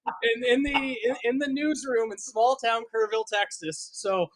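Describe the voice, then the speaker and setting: Male co-host, American, high voice, halting casual storyteller who doubles back on phrases. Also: fairly bright tone, low-quality recording. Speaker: podcast co-host, sports journalist.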